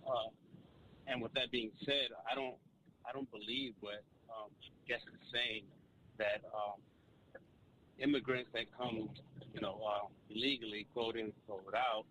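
A man's voice: on the low side, fairly even intonation, a little slow, long pauses, sharp tone, a little hiss or hum, low-quality recording. A man calling in to a radio show, hesitant but firm, with many fillers. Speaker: caller. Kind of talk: call-in comment.

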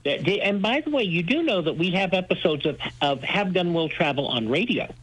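A man's voice: high-pitched, lively intonation, brisk, fairly bright tone, slightly rough, low-quality recording. A man talking enthusiastically as a radio host being interviewed, with an emphatic, folksy storytelling manner. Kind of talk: enthusiastic interview talk about old-time radio.

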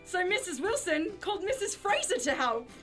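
High-pitched, lively, giggly young-sounding woman. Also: moderate pace, soft background music. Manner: giggly, self-mocking. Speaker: podcast co-host. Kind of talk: casual chat with a playful read-aloud of own childhood story.

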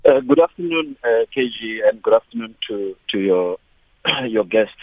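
A man's voice: lowish voice, animated, moderate pace, no echo. A South African man calling in to a radio talk show, speaking with passion and often repeating and restarting his words. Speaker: caller. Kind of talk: call-in radio comment. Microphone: phone. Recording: tinny phone line.

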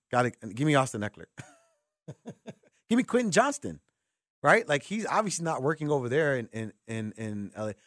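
An American man hosting sports talk radio, talking with callers in an informal, animated, conversational way. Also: medium pitch, no room sound, clean studio sound. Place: radio studio. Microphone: close broadcast microphone.

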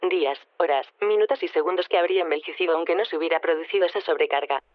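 A woman's voice: lowish voice, very fast, no echo, lo-fi sound, close to an everyday voice. An actress playing a female guest on a news programme, precise and explanatory, in an audio drama. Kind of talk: audio drama broadcast talk.

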